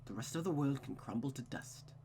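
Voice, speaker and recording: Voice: mid-range voice, lively intonation, a little fast, dark tone, slight background noise, lightly acted. A performer voicing a cold, manipulative mother who coaxes and dominates her child with silky endearments in a visual novel. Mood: coldly persuasive.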